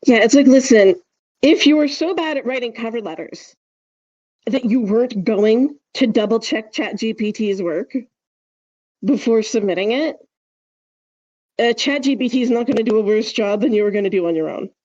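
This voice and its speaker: A woman's voice: a little high, normal speed, a little mellow, dry recording, highs cut off. A woman chatting casually as a podcast co-host, wry, opinionated and emphatic in how she makes her points. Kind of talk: casual speculative podcast chat.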